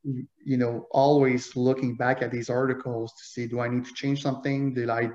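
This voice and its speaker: A man whose first language is French tells a story as a guest author, animated and full of analogies and fillers. His voice sits at a middle pitch. The sound is roomy and echoey, with a dull, lo-fi tone. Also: normal speed. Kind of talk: conversational interview.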